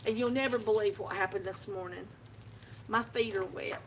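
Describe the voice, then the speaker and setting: A woman's voice: medium pitch, fairly even intonation, normal speed, a little hiss or hum, low-quality recording. A woman, hosting a casual live video from her own shop and telling a rambling story with frequent laughter. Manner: rambling, chatty storyteller who laughs often. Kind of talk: casual live-stream chat. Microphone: phone live video.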